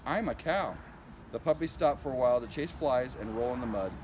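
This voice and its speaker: A man's voice: mid-range voice, fast, background noise. A man reading a picture book aloud to children as a school principal, in simple narration with asides about the pictures.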